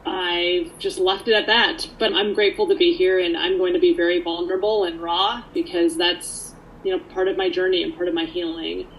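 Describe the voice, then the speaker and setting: Over light background noise, a woman speaks in a lowish voice with plenty of creak. She shares her personal story conversationally, candid and earnest throughout. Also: flat delivery, moderate pace, few pauses, poor audio. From Canada, born in Poland.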